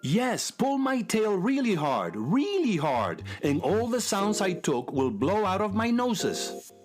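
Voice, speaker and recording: A woman playing a good-hearted, dim many-nosed creature in a children's audio drama, with a husky voice. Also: medium pitch, very animated, slow pace, a little mellow, faint music behind. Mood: eager, straining.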